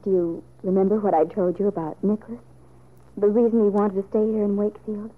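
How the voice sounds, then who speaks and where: A woman's voice: mid-range voice, steady tone, fairly quick, natural voice. A woman playing an earnest, hesitant young woman confiding in family, acted in old-time radio soap style. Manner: gentle, hesitant. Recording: thin, phone-like old radio recording.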